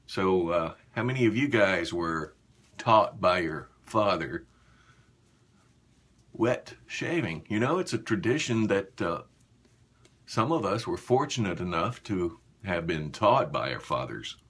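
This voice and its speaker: A man in his fifties chatting casually and haltingly to viewers in a small bathroom while busy with a task. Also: sits low, very animated, fairly bright tone, slightly rough. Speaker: shaving vlogger.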